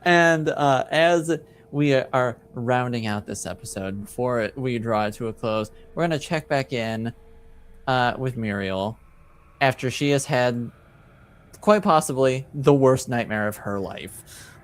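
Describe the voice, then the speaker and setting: A man's voice: medium pitch, unhurried, clean tone. A man speaking casually as game master of a tabletop roleplaying game.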